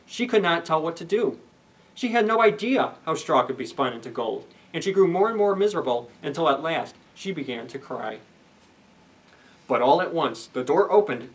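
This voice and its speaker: A man in his thirties reading a children's story aloud expressively, pausing for asides to young listeners. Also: fairly high pitch. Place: indoors, library children's room. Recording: slightly distant camera microphone.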